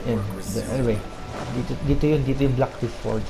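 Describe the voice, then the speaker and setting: A streamer with a Filipino accent does casual gameplay commentary. The voice is medium in pitch and conversational. Game music is clearly audible underneath. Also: fast talker, rough recording.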